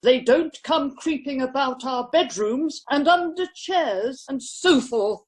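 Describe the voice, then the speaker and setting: A woman's voice: high-pitched, animated, slow. A woman acting a haughty, ruthless upper-class lady in a radio play, scornful and precise.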